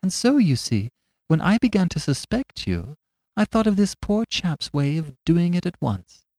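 Timid little rustic priest, played by a man, higher voice, gently apologetic, dry close read. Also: very animated, normal speed, no room sound, heavily acted. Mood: mild. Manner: mild, apologetic, eager. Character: small, timid, vague country priest.

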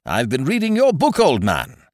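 A man's voice: mid-range voice, huge pitch swings, normal speed, dry recording, clean studio sound, voice pushed up. Man acting breezy, wheedling scrounger, wildly swooping voice. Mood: gushing flattery. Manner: effusive, cajoling, old-fashioned British slangy address. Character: breezy male scrounger, wheedling, overfamiliar, falsely hearty chum.

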